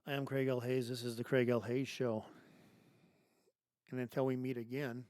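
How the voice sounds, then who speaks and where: A man hosting his own show, delivering an emphatic political monologue full of rhetorical questions and restarts, his voice going hoarse. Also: medium pitch, little pitch movement, moderate pace, darkish tone, dry recording, studio quality. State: losing his voice. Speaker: podcast host.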